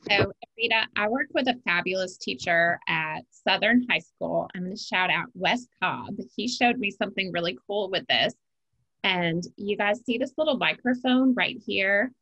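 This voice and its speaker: A woman's voice: medium pitch, normal speed, bright, forceful tone, slightly rough, poor audio. A woman co-presenting a webinar over a video call, chatting enthusiastically. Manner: enthusiastic, conversational. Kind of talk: casual webinar chat.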